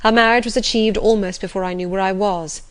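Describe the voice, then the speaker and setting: Woman voicing a brooding, domineering gentleman. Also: medium pitch, steady tone, brisk, clean tone, natural voice. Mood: bitter, impassioned. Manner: impassioned, imperious, urgent. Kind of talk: audiobook character reading of classic novel.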